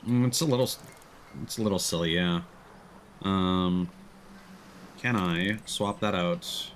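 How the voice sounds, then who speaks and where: A man's voice: low-pitched, unhurried, a little hiss or hum. A man livestreaming casual gameplay commentary, thinking aloud in a playful way. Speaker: live streamer.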